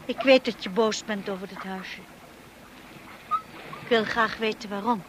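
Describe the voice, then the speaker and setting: An actress playing a timid, anxious young wife in a Dutch radio drama, hesitant and devoted, also narrating softly in the first person. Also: female voice, mid-range voice, steady tone, a little slow, lightly acted. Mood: anxious, probing. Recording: noisy old radio play recording.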